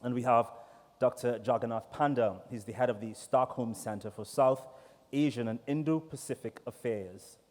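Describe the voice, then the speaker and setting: A man from Trinidad and Tobago with a Trinidadian accent, chatty and relaxed, moderating a conference panel at a desk microphone. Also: mid-range voice, moderate pace. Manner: relaxed, chatty, with many fillers. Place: large conference hall. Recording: echoey hall reverb.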